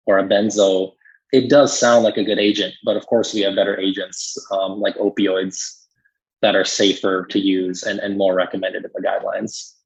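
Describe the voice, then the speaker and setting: American guy explaining medicine casually on a podcast, deep-ish and rough voice, kinda muffled. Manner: informal, explanatory.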